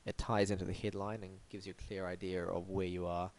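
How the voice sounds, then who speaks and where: Male web review host, lowish voice, congested and sniffly, dry wit and mock-grand asides. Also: little pitch movement, fairly quick, a little mellow. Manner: wry, theatrical, mock-formal. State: blocked up and sniffly from hay fever. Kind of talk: scripted web review monologue.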